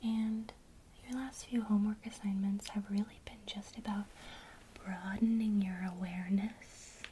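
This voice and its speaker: A woman whispering her way through a gentle lesson on witchcraft, keeping an encouraging tone. Her whisper has a mellow, dark warmth. A faint hiss of noise sits under it. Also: mid-range voice, steady tone, a little slow. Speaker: witchcraft teacher.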